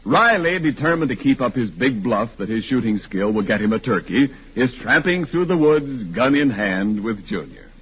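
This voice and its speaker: A man's voice: medium pitch, expressive, moderate pace, hardly any pauses, slightly rough. A man with an American accent delivering scripted narration as an announcer on a vintage radio show. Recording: muffled, hissy old radio recording.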